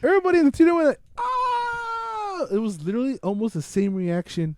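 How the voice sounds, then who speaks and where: A man talking casually and excitably, with slang and swearing, as he hosts a movie review podcast. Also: very high voice, fairly quick. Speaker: podcast host.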